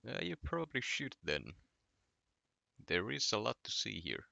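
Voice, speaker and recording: A man's voice: fairly low pitch, unhurried, gravelly, dry recording, highs cut off. A young man from Finland with a Finnish accent, chatting casually on a late-night gaming stream while answering his chat. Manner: relaxed, reading chat aloud and answering.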